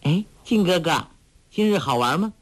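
A man's voice: on the high side, animated, moderate pace, pitched above the performer's own voice. A man voicing a clever, bold young woman in a martial arts story, teasing and then imperious in turn. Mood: playful. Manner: coy and playful, then imperious.

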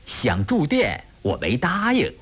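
A man puts on a fawning innkeeper from a storybook tale. He speaks in a raised, very lively lilt, deferential and eager to please. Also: on the high side, slow, husky, pitched above the performer's own voice, exaggerated voice. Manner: hesitant, deferential, lilting. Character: obsequious male innkeeper, chatty and eager to please.

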